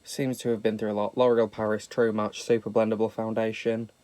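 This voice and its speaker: A man's voice: on the low side, flat delivery, brisk, darkish tone, no room sound. British lad with a bit of a sniffle, kinda nasal, camp and swears a lot doing his makeup. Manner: sweary, camp and self-mocking. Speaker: makeup vlogger. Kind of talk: casual makeup tutorial chat.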